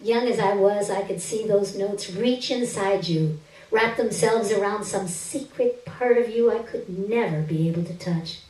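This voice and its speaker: A woman's voice: mid-range voice, unhurried, darkish tone. Woman reading literary fiction, distant and roomy. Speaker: reader of a short story on stage. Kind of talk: dramatic literary reading, first-person narration. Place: indoor stage, live reading. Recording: roomy stage recording, distant microphone.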